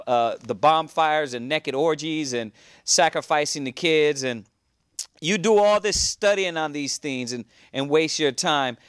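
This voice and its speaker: A man's voice: on the high side, a bit bright, clean tone, no room sound, studio quality. A man teaching a religious class on a cable show, earnest and repetitive in his preaching.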